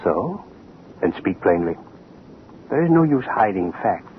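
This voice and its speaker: A haughty propaganda official in an old radio drama. His voice is low and commanding, with clipped, pompous orders. The recording is thin and narrow, with some noise behind it. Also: very animated, measured pace. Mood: demanding, haughty. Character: arrogant, bullying propaganda official, a man of authority. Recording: tinny, noisy vintage radio recording.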